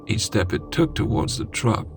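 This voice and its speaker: A man reading a scary first-person story in a dramatic, suspenseful way with deliberate pauses. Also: lowish voice, big pitch swings. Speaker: horror story narrator. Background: music.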